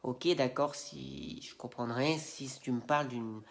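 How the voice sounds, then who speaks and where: A man's voice: medium pitch, unhurried, a bit bright. A man with a head cold and a stuffy nose, ranting casually to camera with slangy, rambling indignation and plenty of fillers. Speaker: Instagram video creator. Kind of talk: casual opinion rant to camera.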